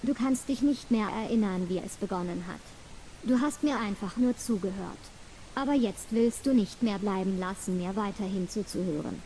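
A woman reading a scripted hypnosis induction in a slow, repetitive, soothing cadence. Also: mid-range voice, dark tone, a little hiss or hum, poor audio.

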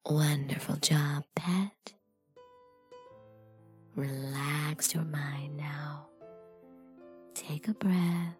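A woman with a breathy voice that often slips into whispering, delivering scripted adult hypnosis audio in a dominant, deliberate, commanding manner. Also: low voice. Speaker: erotic hypnosis performer.

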